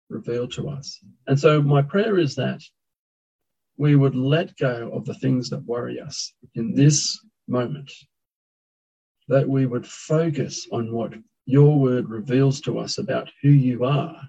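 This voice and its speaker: A man's voice: medium pitch, gravelly, warm tone, husky. A male pastor praying and then preaching a sermon, talking conversationally with frequent hesitations. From Thailand. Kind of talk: sermon with opening prayer. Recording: dull lo-fi sound.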